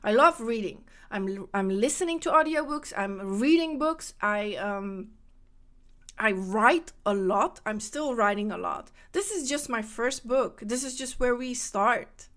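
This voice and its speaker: Lady podcasting about spirituality, higher voice, lively and repeats herself a lot. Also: a little slow. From Netherlands. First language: Dutch. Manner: animated, repeats phrases for emphasis. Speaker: podcast host. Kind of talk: casual spiritual monologue.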